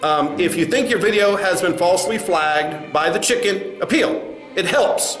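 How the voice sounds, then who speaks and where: Man playing a smug high-pitched corporate spokesman, echoey room. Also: moderate pace, a bit bright. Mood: smug, evasive. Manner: condescending, hesitant stammering doublespeak. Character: smug, dismissive male corporate spokesman facing angry creators. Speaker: spokesman at a press address. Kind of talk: satirical comedy sketch speech. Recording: roomy echo with faint music.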